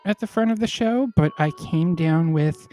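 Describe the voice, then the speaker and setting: A woman's voice: low voice, normal speed, dark tone, soft background music. A woman hosting an online comedy show while congested from a cold and a little tipsy, bubbly and giggly with her guests, over a video call. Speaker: host.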